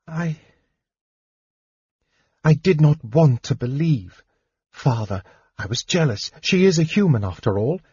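A man voicing a young elf princess who gently pleads with her dying father. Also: mid-range voice, breathy edge, no room sound, highs cut off.

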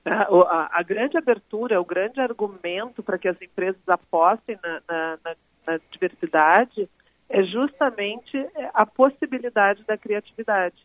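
A woman's voice: medium pitch, unhurried, no room sound. A woman guest expert explaining ideas fluently on a radio show, stumbling over first words, heard over a phone line. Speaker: guest expert on emotional intelligence. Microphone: phone call into the studio. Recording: tinny phone line, dry.

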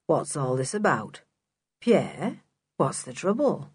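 A woman voicing a smooth, nosy, sinister man in a children's audiobook, measured and probing. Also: sits low, very animated, dry recording, pitched below the performer's own voice, full character voice. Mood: intervening. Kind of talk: children's audiobook character acting.

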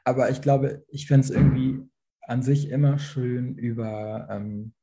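Young man, very dark tone, halting and thoughtful delivery, video call. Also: medium pitch, level tone, vocal fry, rough recording. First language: Farsi. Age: young adult. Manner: hesitant, many fillers and restarts. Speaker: actor, invited guest. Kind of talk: online panel talk.

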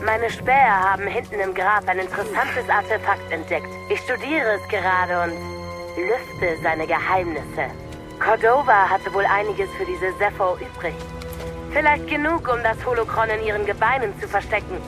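A woman's voice: mid-range voice, moderate pace, music in the background, natural voice. An actress playing a cold, arrogant female Inquisitor in a German audio drama, taunting and condescending. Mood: cold, smug.

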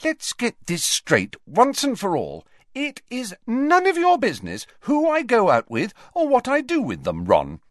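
A man voicing a furious, mocking teenage girl with a shrill, combative way of talking, reading from a storybook. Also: lively intonation, slow, hardly any pauses, a bit bright, dry recording, studio quality. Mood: angry.

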